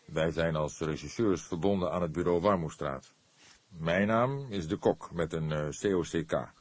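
A male narrator plays a grey old detective in a crime story. The voice is low and husky, measured and solemn, with a slyly polite calm. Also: no echo. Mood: matter-of-fact. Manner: measured, solemn, feigned innocence, hesitant pauses.

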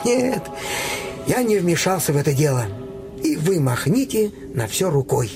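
High-voiced resigned doctor, radio play, very animated, cowed and weary, music behind. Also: male voice, normal speed. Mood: anxious. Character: cowed, resigned doctor urging others to give up. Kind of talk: theatrical radio play acting.

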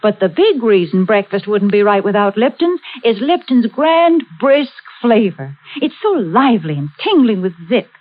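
A woman's voice: mid-range voice, sing-song, moderate pace, few pauses. A woman announcer reading a 1940s radio commercial in an emphatic, salesy way. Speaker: sponsor announcer. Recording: narrow, tinny 1940s radio sound.

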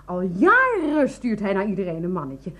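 An actress playing a sarcastic, exasperated Dutch wife who is quick to rage, in a radio drama. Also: female voice, medium pitch, wildly swooping pitch, sharp tone, full character voice. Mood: furious.

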